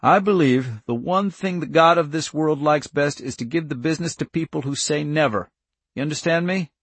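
A man's voice: on the high side, normal speed, a bit bright, no echo, pitched above the performer's own voice. A man voicing a wounded older farmer in an audiobook story, plainspoken, stern and stubborn, with folksy country talk. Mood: stern, earnest. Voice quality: breathless.

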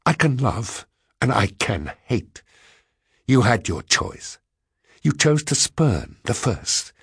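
A man's voice: on the low side, big pitch swings, measured pace, fairly bright tone, breathy edge, no echo, studio quality, exaggerated voice. A man voicing a sinister, bitter woman who taunts with cold passion in an audiobook story. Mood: vengeful, cold.

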